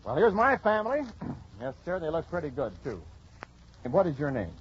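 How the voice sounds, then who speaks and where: Male 1940s radio quiz co-host, folksy joker, higher-than-average pitch, muffled and noisy broadcast. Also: sing-song, brisk. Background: studio audience and noise.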